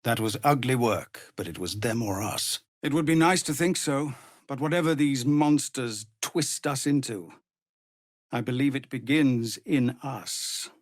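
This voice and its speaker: An actor playing a weary, honourable middle-aged male tiefling soldier in a fantasy game, speaking in an earnest, formal, measured way. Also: mid-range voice, no echo, clean studio sound, natural voice. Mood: bitter, weary. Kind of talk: dramatic fantasy game dialogue.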